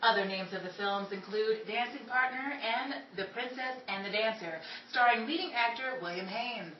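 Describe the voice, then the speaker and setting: A woman's voice: fairly high pitch, moderate pace, highs cut off, lightly acted. A woman playing a chatty, polished 1930s radio broadcaster with a theatrical, presentational delivery. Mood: showy, poised. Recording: echoey room, distant mic, noisy background.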